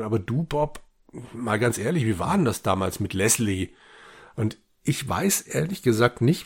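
Male podcast co-host, gravelly rasp, casual and appreciative retelling style. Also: medium pitch, a little mellow. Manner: appreciative, quotes characters' lines while retelling. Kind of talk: casual discussion retelling an audio drama.

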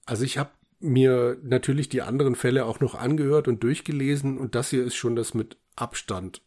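A man with a mid-pitched, rather raspy voice co-hosts a podcast. He recaps and reviews in a relaxed conversational style, as in an informal chat. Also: a little fast. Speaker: podcast co-host.

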